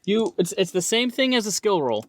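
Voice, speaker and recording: Male game master, higher-pitched and animated, conversationally explaining rules and scenes. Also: brisk, a little hiss or hum. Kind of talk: running a horror tabletop roleplaying game.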